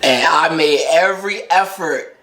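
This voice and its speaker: A man's voice: fairly high pitch, a little slow, bright, forceful tone, a little hiss or hum. An American man chatting casually as a co-host, with an emphatic, teasing way of talking. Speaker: co-host, boyfriend joining the story. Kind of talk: casual chat.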